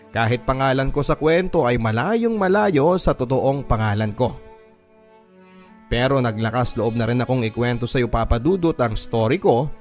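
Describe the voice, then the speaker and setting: A Filipino man reading listener letters as a radio host, in an earnest, sentimental, dramatic storytelling style. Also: mid-range voice. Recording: music behind the voice. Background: background music.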